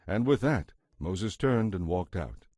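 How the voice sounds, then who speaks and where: A man's voice: deep voice, lively intonation, slow pace, darkish tone, no echo, clean studio sound, full character voice. A man narrating a dramatized Bible story as a solemn storyteller.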